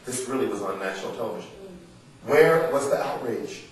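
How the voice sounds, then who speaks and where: A man's voice: medium pitch, animated, a little fast. An American man, an author, giving an earnest, emphatic public book reading mixed with informal remarks to his audience. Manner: earnest, emphatic, rhetorical questions. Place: indoor literary salon before an audience. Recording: roomy echoing live venue, distant mic, faint noise.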